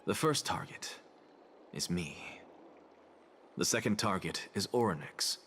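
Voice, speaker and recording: An actor playing a cool, analytical scholar in a video game, lecturing in a formal, methodical way. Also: male voice, sits low, lively intonation, takes it slowly, fairly bright tone, slight background noise, full character voice. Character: cool, analytical, self-assured scholar man. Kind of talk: video game character acting.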